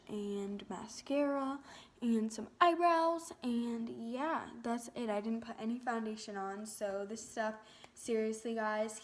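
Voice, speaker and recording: A young woman with a soft voice chats casually to viewers like a beauty vlogger, gushing and peppering her talk with "like". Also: on the high side. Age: teens to young adult. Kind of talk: casual chatty vlog to viewers.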